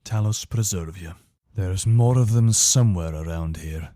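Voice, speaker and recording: A man's voice: low-pitched, unhurried, warm tone, dry recording, clean studio sound. A man playing a loyal, wary soldier of an imperial legion in a video game, speaking in an earnest, soldierly manner. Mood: earnest, steady. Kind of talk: video game character voice lines.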